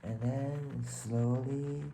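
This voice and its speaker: A man from Taiwan with a Taiwanese accent tells a personal story casually and haltingly, often searching for words. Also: medium pitch, fairly even intonation, mellow, slight background noise, poor audio. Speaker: vlogger telling own life story.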